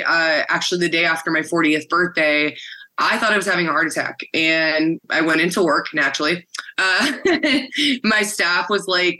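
A woman in her forties speaks in a lowish, raspy voice that still cuts through with a bright, forceful edge. She tells her story candidly and conversationally, with plenty of ums and uhs as she works through it. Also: rough recording. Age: 40s. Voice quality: deep, raspy voice that tires easily. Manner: candid storytelling with frequent fillers. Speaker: guest, former butcher shop owner. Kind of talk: casual interview conversation.

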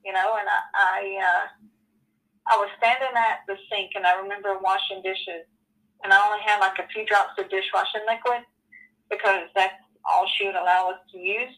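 A woman in her forties telling her personal story as an interview guest, earnest and halting, with many ums and you-knows. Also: medium pitch, steady tone, bright, forceful tone, rough recording. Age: 40s.

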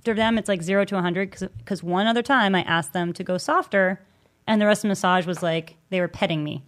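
A woman's voice: medium pitch, quick pace, fairly bright tone. A young adult woman chatting casually as a podcast co-host, swearing freely, acting out voices and laughing often as she tells a story. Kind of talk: casual chat.

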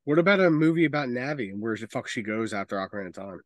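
A man's voice: medium pitch, lively intonation, rapid-fire, a little hiss or hum. A man co-hosting a podcast, chatting casually and giving blunt opinions with plenty of swearing. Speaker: podcast co-host. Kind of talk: casual opinionated chat about games and films.

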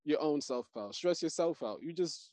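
A man in casual chat, animated and profane, riffing on his points with slang and repetition. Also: a little high, moderate pace, no echo.

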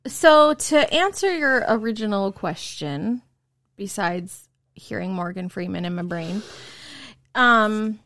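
Woman, 30s, warm-hearted co-host in a casual faith chat; earnest, um-filled; dry close mic. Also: mid-range voice, expressive, slow pace, no echo. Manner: earnest, friendly, uses fillers.

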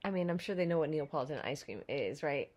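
A woman's voice: deep voice, animated, fast, darkish tone. A woman in her thirties from Wisconsin with a light Midwestern accent, co-hosting a casual podcast in a blunt, profane, laid-back way. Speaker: podcast co-host. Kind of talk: casual chat.

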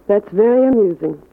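An American actress playing a sassy young dame in a 1940s radio crime drama. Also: female voice, medium pitch, gravelly. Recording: tinny band-limited old radio broadcast.

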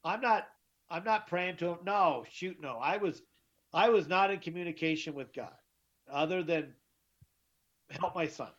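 Middle-aged guy telling his story, kinda high voice, restarts a lot, muffled lo-fi audio. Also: a little slow, pauses often, a bit bright. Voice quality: deeper voice. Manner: emphatic, repeats and restarts words. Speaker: guest, author. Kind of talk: interview storytelling. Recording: dull lo-fi sound.

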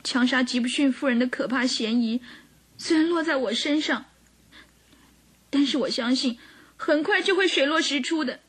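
An actress playing a gentle, sorrowful young governess, earnest and emotional, in a radio drama. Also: female voice, high-pitched, moderate pace, long pauses. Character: gentle, sorrowful young governess under suspicion, pleading tearfully.

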